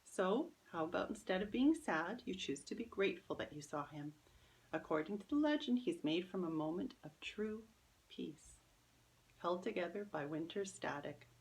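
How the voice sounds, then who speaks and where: A woman's voice: fairly low pitch, expressive, normal speed, a little mellow, rough recording. A woman voicing a kindly, whimsical old great-aunt soothing children in a storybook. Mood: gently encouraging.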